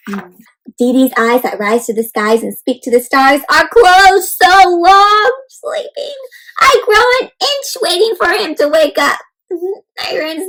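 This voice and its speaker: A woman hosting an interactive read-aloud for children, speaking in an animated, child-directed way with questions and exclamations. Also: high-pitched, poor audio. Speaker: host reading a picture book aloud to children.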